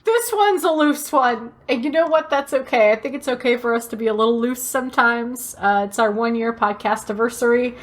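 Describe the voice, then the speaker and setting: A woman's voice: on the high side, a little fast, few pauses. A woman co-hosting a podcast in casual banter, playfully exaggerating as she talks. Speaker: podcast co-host. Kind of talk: casual banter between friends.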